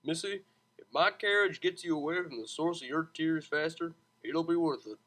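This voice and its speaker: A woman's voice: sits low, moderate pace, a bit bright. A woman voicing a kind, chivalrous male pony carriage driver with an easygoing drawl. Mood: kindly insistent.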